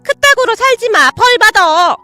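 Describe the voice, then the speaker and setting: A woman voicing a cheeky pet parrot that shouts insults in shrill, repeated bursts. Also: animated, a little slow, no room sound, soft background music, exaggerated voice. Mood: taunting. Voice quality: shrill, squawking. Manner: repeats words in loud chanting bursts.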